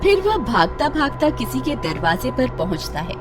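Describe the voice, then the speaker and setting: A woman narrates a scripted horror story for a cartoon. Her delivery is dramatic and very expressive in pitch, on the higher side, with faint music behind her. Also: moderate pace.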